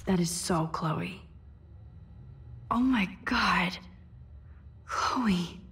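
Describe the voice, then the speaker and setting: An actress playing an earnest, curious teenage girl student in a video game, soft and a little hesitant. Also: on the low side, drawn-out words, husky, exaggerated voice. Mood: hushed, uneasy. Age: young adult. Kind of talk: video game dialogue acting.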